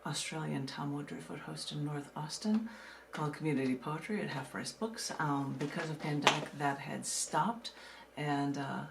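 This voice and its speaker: A woman poet from the United States reading her own work and talking casually about it, in a measured way with dramatic pauses. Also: low voice, creaky, noisy background. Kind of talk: poetry reading with casual asides.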